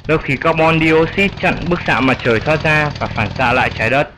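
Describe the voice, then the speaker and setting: A man's voice: on the high side, a little fast, lightly acted. Patient old grandfather bat voiced by a man, noisy background. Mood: patient, explaining. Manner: explanatory, patient. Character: wise elderly male fruit bat, patient grandfatherly teacher. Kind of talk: dubbed educational cartoon dialogue.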